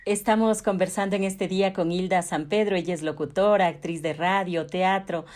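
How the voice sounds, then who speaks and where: A woman's voice: lowish voice, steady tone, moderate pace, a little mellow. A woman hosting an interview, addressing the guest courteously and formally. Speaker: interviewer, host. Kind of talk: polite radio-style interview.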